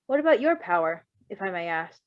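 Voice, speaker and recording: A woman voicing a calm, curious adult male superhero with composed, precise and formal phrasing in an amateur fan fiction reading. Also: on the low side, a little fast, phone-quality sound.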